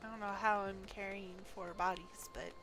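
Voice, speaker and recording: A woman's voice: mid-range voice, fairly even intonation, normal speed, creaky, heavy background noise. A woman with a stuffy nose on a live game stream, reading quest text aloud and making offhand comments.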